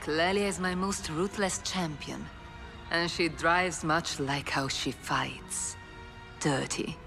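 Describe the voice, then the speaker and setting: A proud, imperious female crime boss in a game cinematic. Her voice is notably low, her delivery formal and cold with an edge of threat. Music runs behind her. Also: steady tone, slow pace, fairly bright tone, full character voice. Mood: cool politeness. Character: proud, ruthless, commanding woman crime boss. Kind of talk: video game cinematic voice acting.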